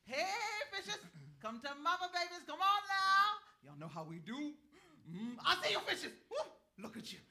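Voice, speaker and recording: A woman's voice: very high voice, big pitch swings, normal speed, bright, forceful tone, exaggerated voice. A woman playing a sly, earthy, ageless river woman in a staged play reading, with a folksy, teasing, knowing way of talking. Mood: playful, coaxing. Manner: folksy, teasing, chuckling drawl of a wise elder.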